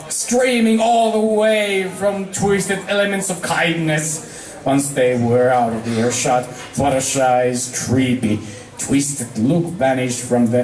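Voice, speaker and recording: A man reading a story aloud as an amateur, stumbling over words, in a roomy recording away from the microphone. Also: fairly high pitch. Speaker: fan fiction reader. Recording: roomy echo, distant from microphone.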